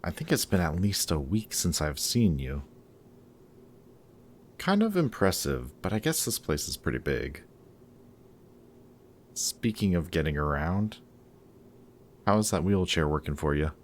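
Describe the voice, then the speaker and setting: Low male voice, actor as snarky guarded villain, dry teasing, grudgingly caring, audio roleplay, noticeable background noise. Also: sing-song, a little slow, pauses often. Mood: dry, exasperated. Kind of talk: intimate audio roleplay acting.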